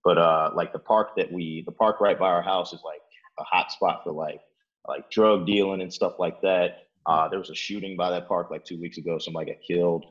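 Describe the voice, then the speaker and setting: A man's voice: deep voice, fairly even intonation, fairly quick, dull audio. A young man from Chicago, answering interview questions at home in loose casual talk full of "like" and "uh". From Chicago, Illinois. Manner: rambling, many fillers like "like" and "uh", restarts. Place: his apartment.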